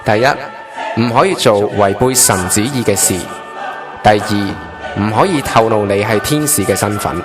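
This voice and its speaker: Clear music plays under a low-pitched, solemn chief angel, acted by a man in a radio drama. He speaks formally and with authority in a Hong Kong accent, laying down instructions. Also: moderate pace, lightly acted. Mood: solemn, instructing. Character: solemn male chief angel briefing a newly made trainee angel. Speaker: voice actor in an online radio drama. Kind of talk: Cantonese radio drama acting.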